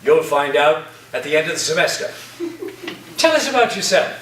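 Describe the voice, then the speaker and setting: A man in a staged play reading, playing an eccentric, grandiose literature professor with deliberate, dramatic pauses. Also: fairly high pitch, very animated, a bit bright. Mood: teasing, theatrical. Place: room, live staged reading, recorded from afar. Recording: distant roomy stage recording, noisy.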